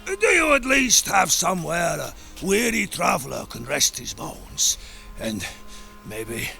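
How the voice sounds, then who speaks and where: Gravelly, boisterous Viking smith. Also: male voice, high voice, big pitch swings, slow pace, sharp tone, soft background music, exaggerated voice. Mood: grumbling. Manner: blunt, coarse, sings lustily. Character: boisterous bawdy travelling Viking smith, grumbling and cheeky. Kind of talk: video game cutscene acting.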